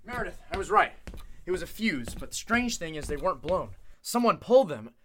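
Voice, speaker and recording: A man's voice: high-pitched, sing-song, brisk, slight background noise. An actor playing a puzzled, alarmed man in an old-time radio thriller. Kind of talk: Golden Age style radio play acting.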